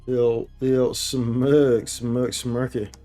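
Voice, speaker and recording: A man's voice: mid-range voice, flat delivery, takes it slowly, warm tone. A man doing casual live gameplay commentary, thinking aloud in slangy, repetitive phrases. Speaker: game livestreamer. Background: faint game music.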